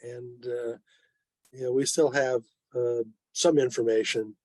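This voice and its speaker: A man's voice: medium pitch, steady tone, measured pace, darkish tone, no echo. A man explaining informally on a video call, with dry joking asides. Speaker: club member presenting. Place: online video meeting.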